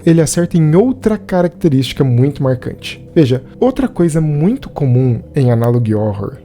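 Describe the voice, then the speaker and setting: A man's voice: medium pitch, warm tone. A man with a Brazilian accent giving a conversational scripted narration as a film reviewer. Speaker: video essayist and film reviewer.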